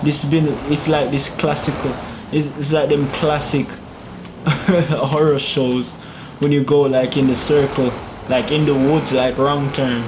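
A man's voice: on the high side, little pitch movement, unhurried, background noise. Young man chatting over gameplay on a headset. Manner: chatty, laughing, muttering to himself while driving. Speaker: gaming YouTuber. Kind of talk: casual gameplay commentary. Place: small home room, indoors. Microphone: gaming headset.

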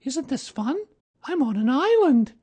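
A man voicing an eager, affectionate young boy in a storybook reading, with a high, childlike voice. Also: very animated, measured pace, a little mellow, exaggerated voice. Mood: excited, curious.

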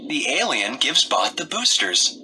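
A man narrating a read-aloud story for young children in short, expressive sentences. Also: medium pitch, unhurried, background noise.

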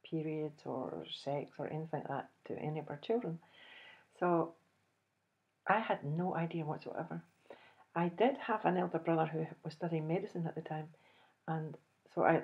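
A woman in her fifties with a Scottish accent, telling a personal story to camera in an animated, chuckling way. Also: fairly low pitch, highs cut off. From Scotland. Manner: animated, chuckles often, acts out dialogue. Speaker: host. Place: indoors, small room, plain backdrop.

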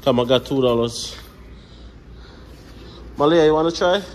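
A man's voice: mid-range voice, a little slow, a little hiss or hum. A man with a Jamaican accent, a family vlogger and father, chatting casually as he walks through a small airport. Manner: relaxed, chatty narration to camera. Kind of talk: casual family vlog walkthrough. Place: small airport terminal, indoors.

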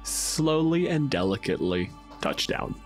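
A man's voice: mid-range voice, sing-song, a little slow. A man narrating a tabletop game as game master in a casual, improvised, wry and descriptive way. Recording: music underneath. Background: background music.

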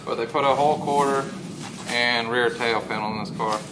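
A man calmly explaining a car restoration in a barn, matter-of-fact, with plenty of filler words. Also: mid-range voice, normal speed. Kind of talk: casual explanation. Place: old barn. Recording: noisy camera audio.

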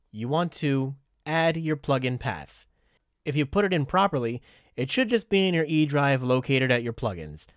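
A man giving a scripted software tutorial in a methodical, instructional way, as a voice-over on a close microphone. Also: medium pitch, no room sound. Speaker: tutorial presenter.

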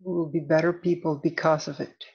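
A woman leading a guided meditation as an instructor, soothing and deliberate, in measured phrases with long pauses. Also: deep voice, level tone, darkish tone, slightly rough, dull audio.

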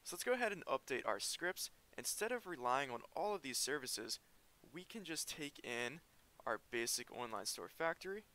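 Bright-voiced man explaining code. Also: medium pitch, very animated, normal speed. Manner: explains step by step while typing. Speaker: programming tutor. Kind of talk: screen-recorded coding tutorial.

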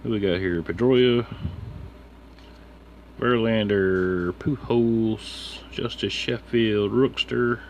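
A man's voice: fairly low pitch, takes it slowly. A man streaming about his card hobby, talking in a casual, slangy, laid-back mutter as he names items one by one. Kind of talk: casual chat while sorting through cards. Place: indoors at a desk with a computer. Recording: steady background room noise.

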